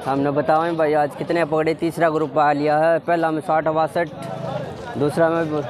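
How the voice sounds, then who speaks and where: Street reporter, young Haryanvi man, quick repeated questions, noisy crowd behind. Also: on the high side, little pitch movement. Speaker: roadside interviewer. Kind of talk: street vox-pop questioning. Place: outdoors by a stadium crowd. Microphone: handheld mic held out to guests.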